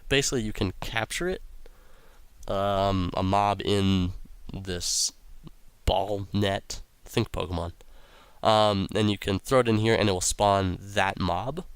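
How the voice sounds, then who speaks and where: Young male let's-play host, low and raspy from a cold, rambling casual commentary, dry close mic. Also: dry recording. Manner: rambling, many ums and uhs, reads quest text aloud. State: raspy voice from illness.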